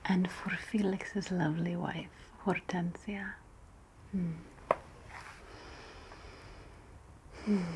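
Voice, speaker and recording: A woman playing a wry, spiteful ancient Roman witch in a whispered ASMR roleplay, breathy and sweetly sarcastic. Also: on the low side, a little mellow, a little hiss or hum, poor audio. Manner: slow, deliberate, sweetly sarcastic.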